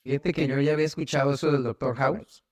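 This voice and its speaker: A man's voice: medium pitch, fairly even intonation, quick pace. A man with a Mexican accent chatting casually as a podcast host. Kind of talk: casual chat.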